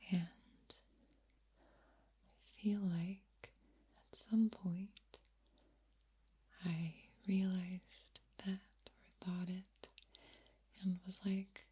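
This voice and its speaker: A woman with a low voice, whispering ASMR to the viewer in a soft, drawn-out, playful way and softly repeating words. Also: level tone, breathy edge. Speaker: ASMR creator and streamer.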